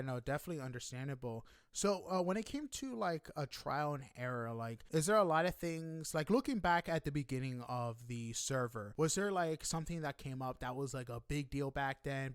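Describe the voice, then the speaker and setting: A man hosting a casual podcast interview, chatting informally and a little haltingly. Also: medium pitch, clean tone, dry recording, studio quality.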